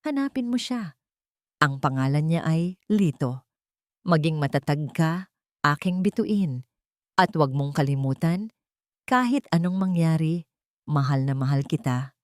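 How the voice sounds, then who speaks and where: A woman's voice: deep voice, lively intonation, a little slow, pauses often, darkish tone, dry recording, clean studio sound. A woman voicing a loving, solemn father's farewell letter in an audiobook drama. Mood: tender, solemn.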